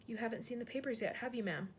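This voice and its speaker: A woman's voice: fairly high pitch, fast talker, slight background noise, lightly acted. A woman voicing a timid, quivering old maid. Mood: trembling. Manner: hesitant, quivering, polite. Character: older, timid, deferential royal maid.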